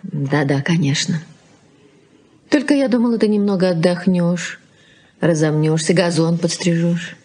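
A middle-aged wife and mother in a 1980s Soviet radio play. Her voice is husky and mid-pitched, and she speaks in a conciliatory, wistful way, gently coaxing and questioning. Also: measured pace, long pauses. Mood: gently persuading.

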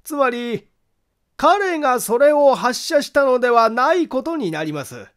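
A man voicing a grave, secretive man who calmly argues a friend's innocence in a polite, deliberate way, in an audiobook mystery story. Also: very high-pitched, smooth, voice pushed up, exaggerated voice. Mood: earnest, explanatory.

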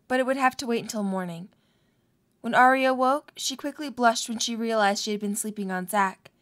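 High-pitched woman narrating a story. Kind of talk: scripted story narration for a fan audio drama.

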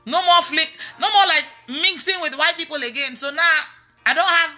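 A woman in her thirties with a Nigerian accent, preaching casually online in an animated, emphatic way and repeating phrases. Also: very high voice, moderate pace. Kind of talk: casual testimony-style preaching on a livestream. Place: indoors in a room at home.

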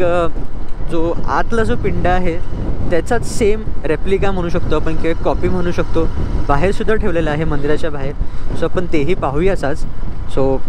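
Young man narrating a road trip vlog. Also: a little high. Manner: storytelling, loose and conversational with fillers and English words. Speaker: travel vlogger. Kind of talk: casual travel vlog narration. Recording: loud road noise behind voice.

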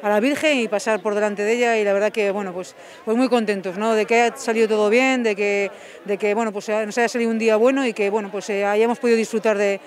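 A woman's voice: mid-range voice, steady tone, fast talker. A woman with a Spanish accent, the town's mayor, answering a street interview outdoors with many fillers and restarts. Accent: Spanish from Spain.

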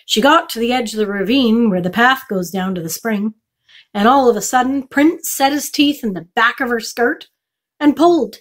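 A woman's voice: a little high, normal speed, close to an everyday voice. A woman voicing an earnest country uncle telling a tale in a storybook, with emphatic delivery.

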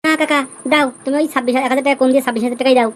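A man's voice: very high voice, fairly even intonation, very fast, fairly bright tone. A man voicing a brash, scheming young village husband in an amateur comedy cartoon. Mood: haggling. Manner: brash, colloquial.

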